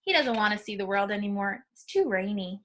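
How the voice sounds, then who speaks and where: A woman reading a picture book aloud to young children, pausing to explain things simply and instructively. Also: medium pitch, expressive, slight background noise.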